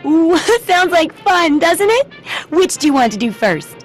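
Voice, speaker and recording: A woman's voice: very high voice, very animated, measured pace, soft background music, heavily acted. A woman voicing a cheerful, eccentric shopkeeper and amateur researcher in a video game, with a perky, chatty manner. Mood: chirpy, eager. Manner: perky, chatty, singsong questioning tags.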